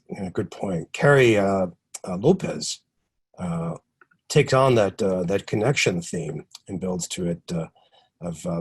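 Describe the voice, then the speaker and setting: Male workshop host on a video call, low husky voice, hesitant delivery. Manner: halting, many ums and uhs. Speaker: session host. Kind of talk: online workshop moderation.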